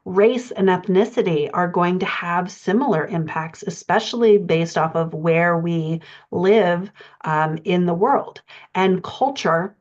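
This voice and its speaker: A woman with a somewhat low voice speaks as a coach presenting a lesson. She explains things in an instructional way, often putting rhetorical questions to the listener. The recording is a little dull and lo-fi. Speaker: writing coach and podcast presenter. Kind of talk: instructional talk on fiction writing. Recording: dull, lo-fi audio.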